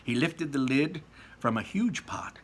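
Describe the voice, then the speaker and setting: Expressive man reading a children's story. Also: mid-range voice, unhurried, a little hiss or hum. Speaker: read-aloud storyteller. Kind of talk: expressive children's book narration with casual asides.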